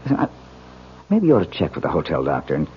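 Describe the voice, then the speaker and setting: An actor playing an attentive, playful, casual husband in a 1970s radio drama. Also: male voice, very deep voice, huge pitch swings, quick pace, exaggerated voice. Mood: solicitous. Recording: muffled old radio recording, faint noise.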